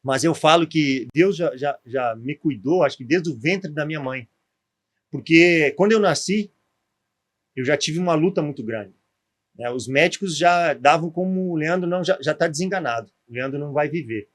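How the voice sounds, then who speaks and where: A man's voice: fairly high pitch, normal speed. A man in his forties with a gaúcho accent from southern Brazil, a former footballer telling his story as a podcast guest, with frequent tag questions. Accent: gaúcho Portuguese. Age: 40s. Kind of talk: podcast interview.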